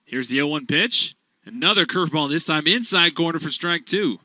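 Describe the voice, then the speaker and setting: Bright, crisp play-by-play man. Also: on the high side, normal speed, no room sound. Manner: builds to loud excitement on big plays. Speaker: play-by-play announcer. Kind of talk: radio play-by-play commentary.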